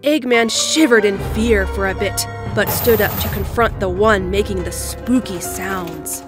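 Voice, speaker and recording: A woman reading scripted story narration in a measured, storybook delivery, as the narrator of an audio drama. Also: medium pitch. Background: music.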